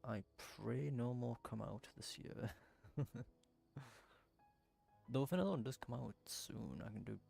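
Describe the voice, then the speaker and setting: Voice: medium pitch, a little slow, gravelly, pauses often, a little mellow, breathy edge, soft background music. A video game streamer giving casual, rambling commentary while thinking aloud during play. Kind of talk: casual gameplay livestream commentary.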